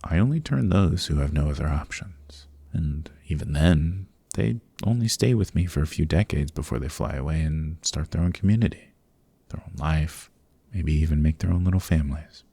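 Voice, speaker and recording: A man playing a courteous, chatty old vampire in an ASMR roleplay, speaking in genteel, old-fashioned phrases with a deep, gravelly, husky voice. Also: moderate pace, mellow, no room sound, heavily acted. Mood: amused, chatty. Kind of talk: ASMR roleplay, interview monologue. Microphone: close microphone, dry.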